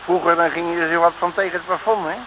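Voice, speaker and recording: An actor playing a cheeky, cocky detective in an old Dutch radio serial, bantering in a brash, folksy way. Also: male voice, fairly high pitch, level tone, fast, muffled recording, noisy background, natural voice. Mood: jovial, bustling. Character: cheeky, cocky male detective on the run at sea. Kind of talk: 1950s-60s Dutch radio serial acting.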